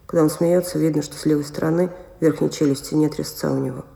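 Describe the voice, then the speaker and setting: An actor narrating character sketches in an audio play with gentle irony. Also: male voice, a little high, fairly even intonation, quick pace, creaky. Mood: gently ironic. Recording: echoey room.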